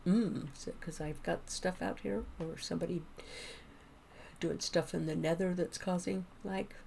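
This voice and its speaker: A woman streaming a game, chatting casually with viewers and rambling as she thinks out loud. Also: lowish voice, fairly even intonation, moderate pace, vocal fry, slight background noise. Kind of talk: casual gameplay chat with viewers.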